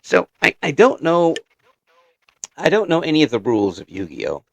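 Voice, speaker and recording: A man's voice: on the high side, wildly swooping pitch, normal speed, vocal fry, fairly bright tone, a little hiss or hum. A man hosting a casual interview podcast, chatting in an encouraging way.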